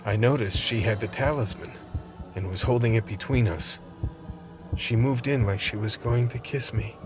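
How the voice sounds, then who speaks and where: A man's voice: lowish voice, moderate pace, over music, natural voice. A man playing a tough survivor who narrates his fight with vampires in a first-person radio play. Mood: grim, steady narration.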